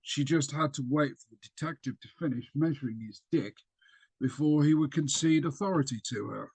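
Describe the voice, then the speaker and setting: A man reading an extract of his own fiction aloud over a video call, with a steady storytelling delivery and small slips. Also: a little high, moderate pace, breathy edge, no room sound, poor audio.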